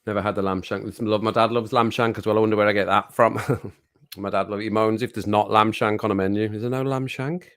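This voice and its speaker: A man with a slightly low, faintly croaky voice rambles through a relaxed livestream from home. He chats loosely with viewers and laughs easily between remarks. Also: fast talker. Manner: rambling, chatty, laughs often. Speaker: livestream host reading chat. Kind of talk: casual chat to a live audience. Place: at home with his pets. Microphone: home streaming mic with compressor.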